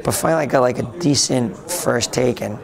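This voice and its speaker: A raspy, gravelly-voiced man chats loosely in an interview, doubling back and restarting his sentences as he goes. He sounds a bit hoarse from a long day of talking. Busy crowd chatter hums behind him on a convention floor. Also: medium pitch, brisk. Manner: rambling with frequent restarts. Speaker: interviewee, tech blogger. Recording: noisy crowded convention hall.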